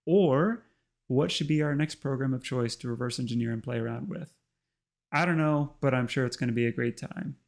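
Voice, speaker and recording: A man hosting a casual tutorial, chatty and full of ums, his voice slightly hoarse. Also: medium pitch, a little fast. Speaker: host. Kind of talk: casual screen-recorded tutorial narration.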